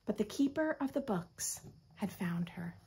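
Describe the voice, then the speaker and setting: An American woman in her thirties reading a picture book aloud with expressive, teacherly asides to young children. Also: medium pitch, measured pace, slight background noise. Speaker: children's story host. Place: small furnished room at home.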